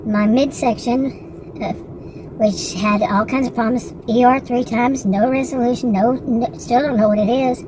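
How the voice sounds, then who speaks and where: A woman's voice: a little high, fairly even intonation, measured pace, noisy background. A woman in her sixties in a rambling, frustrated casual monologue, with many restarts and asides. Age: 60s. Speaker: vlogger.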